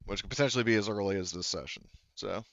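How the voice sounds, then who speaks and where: A man game-mastering a tabletop roleplaying game in a casual, halting, rambling way, explaining as he goes. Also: fairly low pitch, gravelly, a bit bright, dry recording, lo-fi sound.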